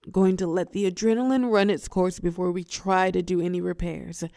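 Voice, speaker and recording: A woman playing a telepathic villain and former hero in a roleplay audio drama, protective and sharp-tongued, with a breathy voice that often drops to a whisper. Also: lowish voice, no echo, studio quality. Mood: calm, reassuring. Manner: slow, commanding yet tender coaching.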